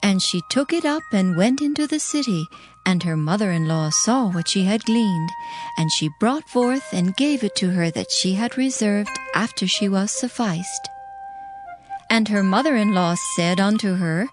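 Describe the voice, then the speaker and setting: A woman reading scripture as a narrator in a measured, formal storytelling manner. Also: mid-range voice, expressive, music in the background.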